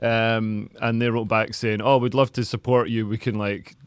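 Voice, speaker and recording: A Scottish comedian and podcast host chatting casually, rambling with lots of "like" and laughing often. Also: male voice, lowish voice, flat delivery, normal speed, smooth, no room sound. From Scotland. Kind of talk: casual chat.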